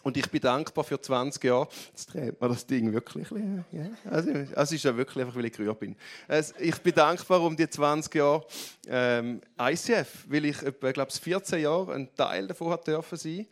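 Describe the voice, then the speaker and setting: A man's voice: fairly high pitch, moderate pace. A man with a broad Graubünden Swiss German accent, giving a heartfelt, candid talk to a church audience. He speaks in loose restarts and moves between humor and being moved. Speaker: church leader speaking on stage.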